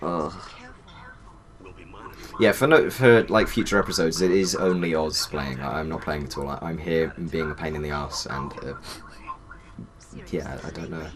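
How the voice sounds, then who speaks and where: A man's voice: rumbling low, normal speed, long pauses. A man co-hosting casual gaming commentary with dry, joking banter. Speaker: gaming channel co-host.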